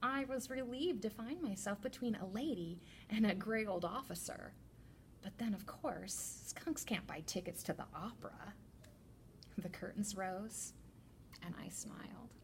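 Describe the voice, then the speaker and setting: A woman reading a children's picture book aloud as a teacher librarian, with deliberate, expressive storytelling. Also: medium pitch, a little hiss or hum.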